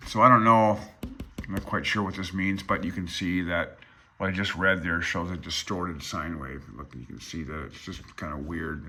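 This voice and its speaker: An older man with a low-pitched voice and a sharp, forward tone works through a technical text aloud. He presents it informally as a hobbyist, often breaking off into unsure, self-effacing comments of his own. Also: creaky, husky. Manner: hesitant, self-deprecating, unsure of himself. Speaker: amateur radio hobbyist presenter. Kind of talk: informal tutorial, reading aloud from a handbook with asides.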